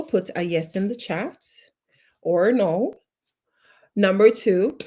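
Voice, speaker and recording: Encouraging woman coach. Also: medium pitch. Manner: encouraging, repeats short questions, reads out viewer comments. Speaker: life coach teaching a live online class. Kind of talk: live-streamed class, talking to viewers in chat.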